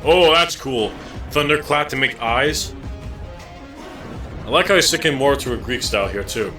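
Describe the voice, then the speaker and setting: A man co-hosting a reaction video, chatting casually, animated and laughing often as he explains singing technique. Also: medium pitch, moderate pace, recorded from a distance, soft background music. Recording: roomy sound, away from the mic.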